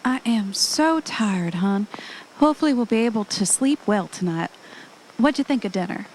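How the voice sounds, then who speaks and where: A woman's voice: medium pitch, expressive, normal speed, close to an everyday voice, poor audio. A woman acting an affectionate, easily unnerved young wife in an amateur audio drama, speaking casually and conversationally. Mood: tired. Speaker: actor in an amateur radio drama. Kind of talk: naturalistic audio drama dialogue. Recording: noticeable background noise, amateur recording.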